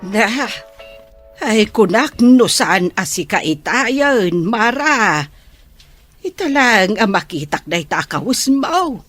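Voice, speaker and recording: A woman's voice: mid-range voice, big pitch swings, slow, fairly bright tone, soft background music, full character voice. An actress playing a frank older mother who admonishes her grown daughter, in an Ilocano radio drama. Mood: admonishing.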